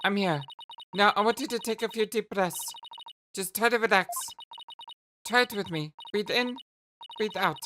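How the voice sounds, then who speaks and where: A woman voicing a calm young female counselor, guiding gently, her nose stuffy. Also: medium pitch, normal speed, pauses often, background noise, voice pushed up, natural voice. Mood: soothing. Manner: soothing, guiding. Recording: game music behind the voice.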